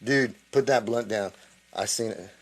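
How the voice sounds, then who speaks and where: A man's voice: fairly low pitch, measured pace, vocal fry. A man in his late forties, a musician talking candidly to camera in an earnest, confiding way, often restarting his sentences. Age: 40s. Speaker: musician and vlogger.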